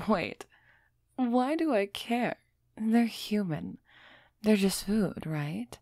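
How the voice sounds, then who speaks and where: A woman playing an aloof, aristocratic vampire who is softening toward a human, in a measured, musing audio roleplay performance. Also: mid-range voice, animated, no echo, studio quality, heavily acted. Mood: troubled musing. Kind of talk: scripted audio roleplay acting, close and soft.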